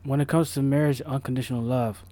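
A man's voice: medium pitch, fairly even intonation, fast, dark tone. A man co-hosting a podcast in casual chat, rambling with repeated words and slang. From Florida. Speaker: podcast co-host.

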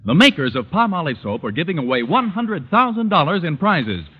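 A man's voice: on the high side, very animated, fairly quick, husky. A man with an American accent reading a scripted commercial in 1940s radio announcer style. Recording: echoey muffled old radio recording.

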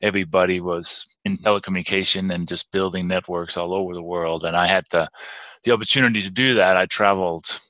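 A man's voice: low voice, fairly even intonation, a little fast, bright, forceful tone, no echo. A man in his forties with a Danish accent, answering interview questions hesitantly, with many fillers and restarts. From Denmark. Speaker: podcast guest.

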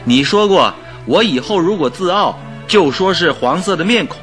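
The detective's needling, skeptical sidekick in a radio play: highish, bright, animated. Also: male voice, moderate pace, faint music behind. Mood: scolding, teasing. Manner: grumbling, familiar, needling. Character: skeptical, nagging male friend of a detective. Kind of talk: radio drama acting.

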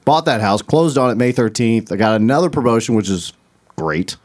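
A man's voice: medium pitch, expressive, fairly quick, darkish tone. A man who produces the podcast, guesting in casual chat and recounting news in a matter-of-fact way.

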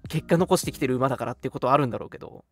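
A man chatting casually as a radio co-host, recounting stories in an animated way with acted-out quotes. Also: mid-range voice, rapid-fire, breathy edge. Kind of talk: casual chat, recounting an anecdote.

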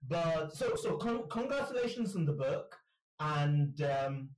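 A man's voice: a little high, very animated, measured pace, darkish tone, echoey room, far from the mic, slight background noise, low-quality recording. A man hosting a podcast interview, enthusiastic and chatty, often repeating words and laughing. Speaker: podcast host. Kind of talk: casual interview chat.

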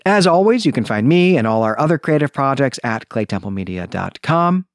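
A man's voice: medium pitch, big pitch swings, brisk, no room sound, clean studio sound. A man hosting a podcast, giving a review in an enthusiastic, emphatic and conversational way. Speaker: podcast host. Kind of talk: book review podcast monologue.

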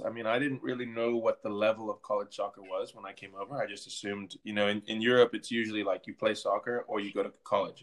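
A man with a fairly low voice answers interview questions as a coach. His intonation stays very flat. He talks informally, often doubling back on his words. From Belgium. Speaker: interview guest, soccer coach and team captain. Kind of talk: conversational interview.

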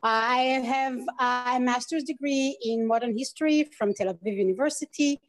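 A young woman from Israel, a native Hebrew speaker, introducing herself clearly and in a friendly way as a podcast guest. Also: a little high, normal speed. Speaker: guest, UN tour guide.

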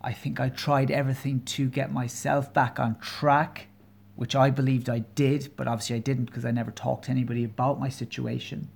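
A man of forty with a Kerry Irish accent, hosting his own podcast alone and talking in an earnest, halting way with many pauses and fillers. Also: medium pitch, little pitch movement, vocal fry, husky. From Ireland. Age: 40s. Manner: candid, openly personal. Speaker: podcast host. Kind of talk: solo podcast monologue.

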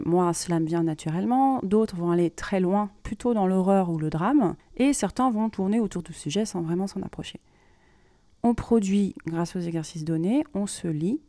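A woman hosting a podcast, explaining things informally in a monologue and pausing as she thinks aloud. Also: medium pitch, dark tone, no echo. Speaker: podcast host and creative writing workshop leader.

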